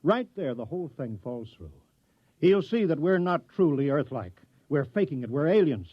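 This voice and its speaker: A pushy, animated town mayor. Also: male voice, on the high side, dry recording. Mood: urgent. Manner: bossy, hurried, persuasive. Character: scheming, bossy middle-aged male town mayor. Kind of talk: 1950s radio drama acting. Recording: dull, dry 1950s radio recording.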